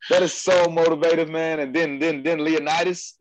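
Excitable American male host, slangy, dry recording. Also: a little high, normal speed, no echo, low-quality recording. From United States. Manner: excitable, slangy, repeats himself. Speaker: podcast host. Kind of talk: casual chat. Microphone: headphones with mic on a video call.

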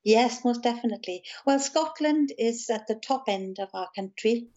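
Gentle woman with a South Welsh accent. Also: a little high, normal speed, dull audio. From South Wales. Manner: gentle, calm, chatty with small laughs. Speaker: guest, musician and author. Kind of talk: casual interview chat.

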